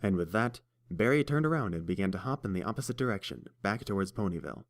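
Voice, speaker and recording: A man reads the story aloud in a steady, measured way, his voice sitting a little low. He narrates evenly, handing off to the characters' voices. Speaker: audiobook narrator. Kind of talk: scripted fan fiction narration.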